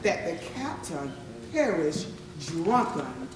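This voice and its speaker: A woman's voice: low-pitched, big pitch swings, heavy background noise, exaggerated voice. A woman playing a grim ship's crewman giving a formal deposition in a solemn stage recital, picked up at a distance in an echoing room. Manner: solemn, deliberate, testifying. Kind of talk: formal dramatic verse recital, readers theatre. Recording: echoey room, distant mic, audience sounds.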